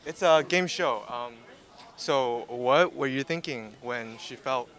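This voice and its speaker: A man's voice: mid-range voice, slow pace, a bit bright. A teenage boy hosting a hidden-camera game show bit, asking casual, hesitant questions. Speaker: student game show host.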